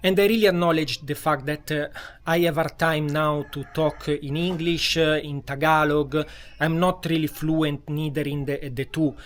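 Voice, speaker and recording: A man's voice: a little high, steady tone, normal speed. A man with an Italian accent, a vlogger talking casually at home with many fillers and restarts. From Italy. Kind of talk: casual vlog talk. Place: home in a tropical town.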